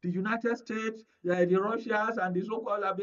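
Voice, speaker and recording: High-pitched Nigerian man, emphatic panel commentator. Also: fairly even intonation, normal speed, fairly bright tone. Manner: earnest, emphatic, repeats phrases. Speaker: guest media analyst. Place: radio studio. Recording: muffled audio, distant from mic.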